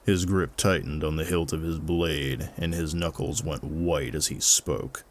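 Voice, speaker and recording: A man narrating a dark fantasy short story he wrote, in a measured, even manner. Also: a bass voice. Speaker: author reading his own fiction.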